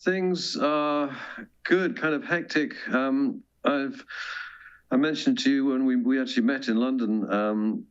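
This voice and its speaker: A man's voice: mid-range voice, a little slow, smooth. A man talking casually as an interview guest, a composer and choir director who often restarts and corrects himself, heard over a muffled remote link. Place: remote video link from London. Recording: dull, muffled remote-call audio.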